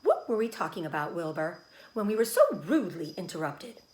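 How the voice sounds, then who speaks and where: A woman reading a children's storybook, voicing a motherly female spider in a measured, comforting and firm manner. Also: on the low side, animated, darkish tone, echoey room, close to an everyday voice. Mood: sharp. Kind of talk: children's storybook read-aloud.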